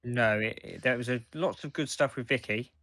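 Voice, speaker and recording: A man co-hosting a casual fan podcast, talking in a halting, hesitant way as he reviews. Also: mid-range voice, sharp tone, no room sound. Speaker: podcast co-host.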